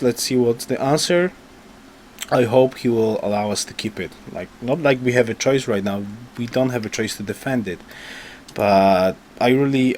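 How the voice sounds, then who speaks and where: A man thinking aloud as he commentates a strategy game, hoarse because he is losing his voice, rambling and restarting his sentences. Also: fairly low pitch, darkish tone, a little hiss or hum. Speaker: gameplay commentator. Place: home.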